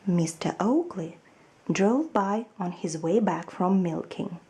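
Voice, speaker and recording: A woman narrating a children's storybook aloud in an expressive reading style. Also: on the low side, slow pace. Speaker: storybook reader.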